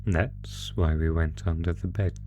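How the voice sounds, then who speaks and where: A man plays a sinister monster from under the bed in a horror audio roleplay, with a very low voice. He gloats and taunts with mock politeness, very slowly and deliberately, relishing cruelty over clearly audible music. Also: fairly even intonation. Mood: ominous, amused. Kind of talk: horror roleplay audio, slow theatrical menace.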